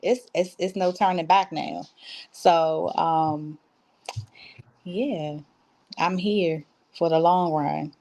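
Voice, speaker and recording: Low-voiced woman telling stories casually. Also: steady tone, slow pace, pauses often, darkish tone. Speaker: stage actress, interview guest. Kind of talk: casual conversational interview answers.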